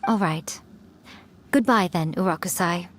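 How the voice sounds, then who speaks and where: A woman's voice: on the low side, a little slow, a little hiss or hum, lightly acted. A woman playing a sly, elegant, centuries-old fox shrine maiden in a video game, teasing and playfully smug as she talks. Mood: fond, composed.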